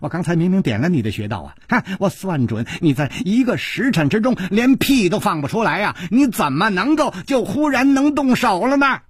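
A man voicing a small, crude, boastful old martial-arts villain in an audiobook, cackling and taunting in a husky voice. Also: high voice, lively intonation, brisk, few pauses, no echo, clean studio sound, pitched above the performer's own voice. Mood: amused, baffled.

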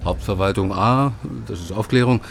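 An actor playing a composed, discreet intelligence informant in a radio drama, explaining in a matter-of-fact, measured way. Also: male voice, fairly low pitch, slight background noise, lightly acted. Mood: dry, explanatory.

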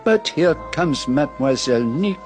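A man's voice: on the high side, lively intonation, normal speed, a little mellow, soft background music, close to an everyday voice. A man plays a middle-aged Belgian detective in a radio drama. He speaks with a French-speaking Belgian accent in precise, formal phrases, courteous and shrewd, with a theatrical flourish. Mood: brooding, wry.

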